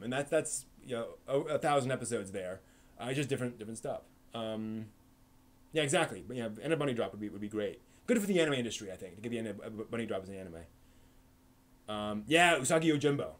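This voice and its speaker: A man's voice: medium pitch, lively intonation, fast talker. Rambling man hosting a live stream. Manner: rambling, thinking aloud with many ums and restarts. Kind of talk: casual live-stream chat answering chat questions.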